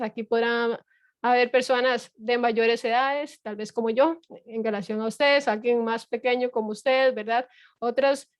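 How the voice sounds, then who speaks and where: A woman's voice: a little high, no echo, studio quality. A middle-aged woman lecturing a class online, explaining patiently with frequent fillers and rhetorical checks. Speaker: university lecturer.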